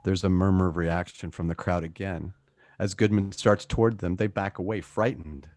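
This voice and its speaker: A man's voice: low voice, fairly even intonation, normal speed, darkish tone, dry recording, voice pushed down, natural voice. A man playing the narrator of a radio play, reading scripted stage directions. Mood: grave.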